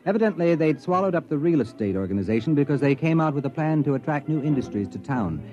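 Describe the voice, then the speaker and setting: Man acting urgent high-voiced professor, old radio. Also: animated, fast talker, a little mellow, natural voice. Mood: narrating, wry. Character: excitable male sociology professor, increasingly alarmed narrator. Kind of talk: 1950s radio drama acting. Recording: dull vintage radio recording with music.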